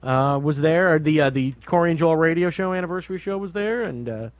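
A man's voice: fairly high pitch, brisk, dry recording. A man hosting a radio show, chatting casually with opinions in a blunt, repetitive way. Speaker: radio show host and comedy night host.

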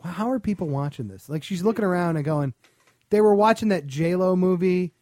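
Blunt, high-voiced man bantering. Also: fairly quick, mellow, dry recording. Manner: crude, blunt, joking. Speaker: podcast co-host. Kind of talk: casual comic banter. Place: small podcast studio.

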